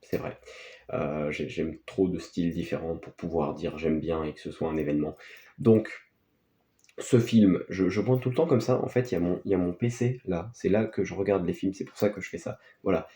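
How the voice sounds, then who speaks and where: A man's voice: low-pitched, moderate pace, dark tone. A man gives casual, unscripted film commentary as a video host, in a hesitant, rambling, offhand way. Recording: echoey, roomy sound.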